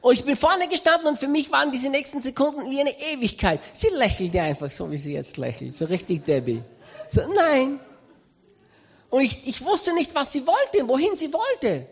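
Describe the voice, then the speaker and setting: A man with an Austrian accent preaching a sermon, telling a story with humor and lively emphasis. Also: very high voice, moderate pace. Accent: Austrian German. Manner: animated, humorous anecdotal delivery.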